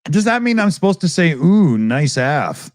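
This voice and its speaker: Canadian dude chatting on a podcast, jokey, swears a lot, pitch jumps around. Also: male voice, big pitch swings, normal speed, dry recording, studio quality. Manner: joking, laughs often, swears casually. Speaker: podcast co-host. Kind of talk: casual chat.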